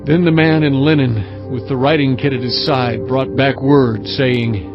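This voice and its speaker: Brief: male narrator, mid-pitched, steady and measured, muffled lo-fi sound with music underneath. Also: creaky. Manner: measured, steady storytelling delivery. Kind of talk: scripted dramatized scripture narration. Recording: dull lo-fi audio with music bed.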